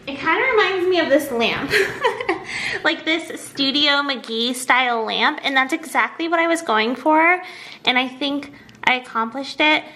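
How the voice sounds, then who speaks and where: A woman chatting casually as a craft vlogger in a nasal voice, with a bubbly, expressive, gushing manner. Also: high-pitched. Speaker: craft and home decor YouTuber. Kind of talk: casual vlog chat.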